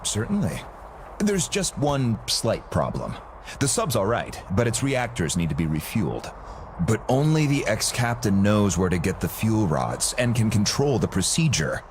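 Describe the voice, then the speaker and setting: A man playing a smooth, self-satisfied arms-dealer boss with grand ambitions, speaking in a theatrical, businesslike way in a game cutscene. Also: on the low side, very animated, moderate pace, a little hiss or hum. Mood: smooth, persuasive. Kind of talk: video game cutscene acting.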